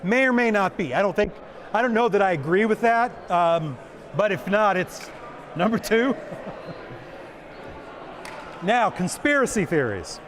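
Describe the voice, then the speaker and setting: Man, booming voice, high pitch, joking presenter, conference talk. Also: lively intonation, unhurried, pauses often, fairly bright tone, slight background noise. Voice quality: big booming voice. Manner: joking, self-deprecating, repeats questions aloud. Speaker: conference speaker.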